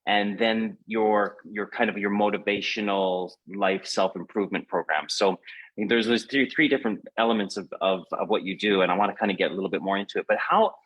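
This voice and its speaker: Man, lowish pitch, informal interview host, casual, halting delivery, frequent rephrasing. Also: steady tone, a bit bright, husky, lo-fi sound. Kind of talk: casual live-stream interview.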